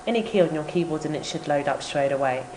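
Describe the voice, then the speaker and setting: A woman with a low voice narrates a software tutorial. She explains things informally, step by step. The sound is echoey and carries faint background noise. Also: quick pace. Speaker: tutorial presenter. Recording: roomy echo with background noise.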